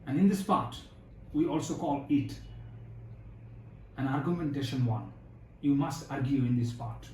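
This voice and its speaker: Man explaining the test slowly. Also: mid-range voice, a little mellow, a little hiss or hum. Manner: slow, instructive, repeating phrases. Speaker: IELTS examiner, teacher. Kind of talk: mock speaking test. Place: exam room indoors. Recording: echoey room, faint ambience.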